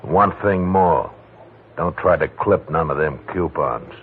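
An actor playing a tough-talking crook who speaks in blunt, ungrammatical street slang in a 1940s radio drama. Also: male voice, very deep voice, takes it slowly. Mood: menacing. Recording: tinny old radio broadcast.

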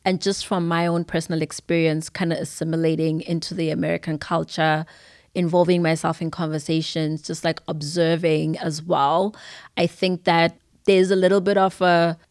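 A woman's voice: low voice, flat delivery, normal speed, no room sound, studio quality. A young adult woman from Zimbabwe with a Zimbabwean accent, talking thoughtfully as a podcast guest. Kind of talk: casual conversation.

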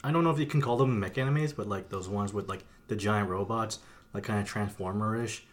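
A man's voice: lowish voice, a little fast. A man co-hosting a casual podcast, talking in a blunt, joking, sweary way. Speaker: podcast co-host. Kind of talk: casual chat.

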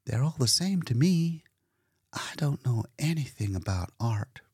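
A man's voice: mid-range voice, expressive, a little slow, a little mellow, slightly rough, no echo, clean studio sound, pitched above the performer's own voice. A man voicing a demure, humble, earnest woman in a storybook reading, shy and folksy in manner. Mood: earnest, unsure. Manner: shy, plain-spoken, folksy.

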